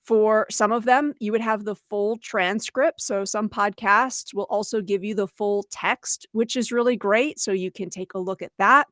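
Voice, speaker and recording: A woman's voice: mid-range voice, fairly even intonation, a little slow, hardly any pauses, a bit bright, no echo, studio quality. A woman co-hosting a webinar for teachers, explaining conversationally and voicing imagined quotes as she goes. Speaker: webinar co-host, educator.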